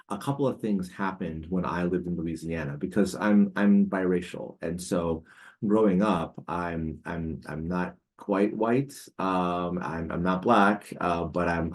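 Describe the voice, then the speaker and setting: A man in his forties with a very low voice tells a story from his own life. He talks casually and halts often, filling pauses with ums and starting his sentences over. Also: a little mellow. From United States. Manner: hesitant, many fillers and restarts. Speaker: podcast guest.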